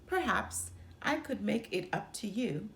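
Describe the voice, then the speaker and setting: A woman voicing a sweet, kind, gentle young princess in a storybook reading. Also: mid-range voice, expressive, measured pace, creaky. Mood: apologetic. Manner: gentle, sympathetic. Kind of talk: storybook character voice.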